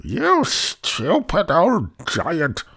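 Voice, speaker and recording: A scolding fairy-tale giantess, played by a male narrator, nagging in a high voice. The words are drawn out and swoop up and down. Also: huge pitch swings, extremely slow, gravelly, sharp tone, exaggerated voice.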